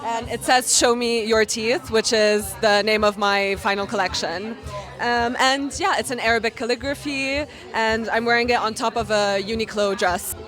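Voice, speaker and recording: A woman's voice: fairly high pitch, normal speed, a bit bright. A woman fashion designer answering casually in an interview. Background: music at a pop-up event.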